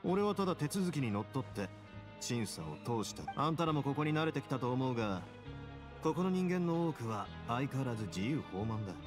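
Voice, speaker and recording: A man's voice: medium pitch, very animated, a little fast, music in the background, lightly acted. A man voicing a cool, wry young duke who runs a prison in an anime game, nonchalant and dryly teasing. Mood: composed, teasing. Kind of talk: Japanese anime game voice acting.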